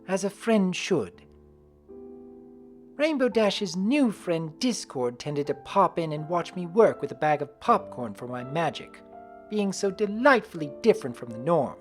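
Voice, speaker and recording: A woman narrating in the first person as an earnest adult pony mare who works the weather, reading fan fiction expressively. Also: medium pitch.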